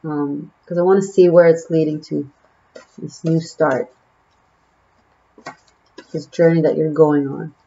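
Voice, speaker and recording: Casual tarot reader, a woman with an Israeli accent and a slightly low voice, rambling through fillers on a muffled home recording. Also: fairly even intonation, measured pace, gravelly, pauses often, mellow. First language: Hebrew. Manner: chatty and musing, trailing off with fillers. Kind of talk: casual tarot reading to camera.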